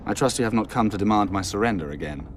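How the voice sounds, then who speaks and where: A man's voice: lowish voice, lively intonation, quick pace, music in the background, lightly acted. An actor playing a proud, bitter nobleman of royal blood in a video game, formal and sardonic in manner. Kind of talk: video game cutscene dialogue.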